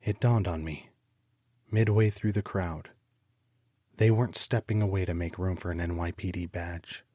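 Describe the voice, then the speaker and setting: An actor playing a troubled homicide detective, narrating his case in a deliberate, brooding, literary style for an audio drama. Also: deep voice, steady tone, mellow, dry recording, studio quality. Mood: bitter, weary. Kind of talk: scripted first-person noir audio drama narration.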